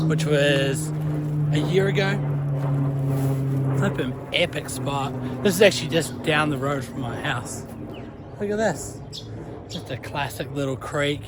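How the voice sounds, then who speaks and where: A man's voice: mid-range voice, very noisy. A young man with a New Zealand accent, chatting casually in a vlog while walking his dog by a creek. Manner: chatty, relaxed, uses Kiwi slang. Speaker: vlogger. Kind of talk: casual walk-and-talk vlog.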